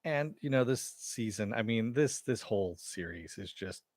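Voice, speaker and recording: A man's voice: lowish voice, expressive, moderate pace, darkish tone, no echo. A man hosting a casual live-streamed recap of a TV episode, rambling and chatting with his viewers at home. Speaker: show host reviewing a TV episode. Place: home room. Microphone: headset mic with headphones.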